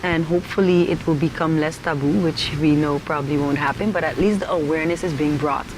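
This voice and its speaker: A woman hosting a television interview in a measured, formal manner. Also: deep voice, fairly even intonation. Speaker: talk show host. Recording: noticeable background noise.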